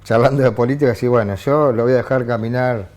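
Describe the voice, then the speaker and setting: A man with an Argentine accent, a journalist guest on a TV political panel who talks in halting phrases with frequent restarts and fillers. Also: medium pitch, fairly even intonation, darkish tone. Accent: Argentine Spanish. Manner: hesitant, many restarts and fillers. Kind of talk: TV studio political discussion. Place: TV studio.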